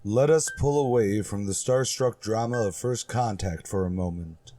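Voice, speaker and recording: A man's voice: on the low side, normal speed, mellow, slight background noise. A man hosting and narrating a radio drama podcast with scripted delivery.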